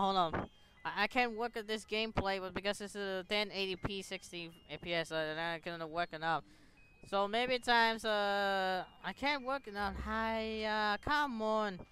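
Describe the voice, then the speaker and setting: Slow, halting male gamer. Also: high-pitched, bright, forceful tone, slight background noise. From Philippines. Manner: halting, broken phrasing. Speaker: gaming video host. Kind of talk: casual let's-play commentary, reading on-screen text.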